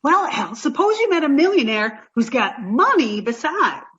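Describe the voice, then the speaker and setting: A woman's voice: high voice, very animated, normal speed, a bit bright, highs cut off. An actress playing a sweet, naive, scatterbrained young girlfriend in 1940s radio sitcom style, doting and earnest. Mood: eager.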